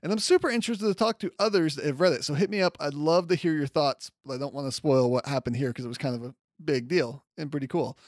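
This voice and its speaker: Male podcast host, slightly high register, casual and enthusiastic monologue, dry recording. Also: fast talker, few pauses, dry recording. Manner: enthusiastic, chatty.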